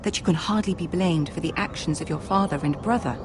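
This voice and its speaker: An actress playing a diplomatic young noblewoman soon to be queen, gentle but firm and courtly, in a fantasy video game. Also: fairly low pitch, brisk, noisy background, lightly acted. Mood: guarded concern. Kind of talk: dramatic video game voice acting. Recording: music behind the voice.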